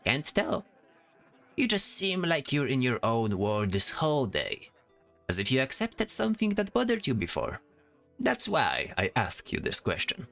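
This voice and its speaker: A man playing a chatty, fussy, worrying bard with an expressive way of talking in a fantasy audio drama. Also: mid-range voice, measured pace, vocal fry, soft background music, heavily acted. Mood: concerned. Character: chatty, complaining, worrying bard disguised in knight's armor.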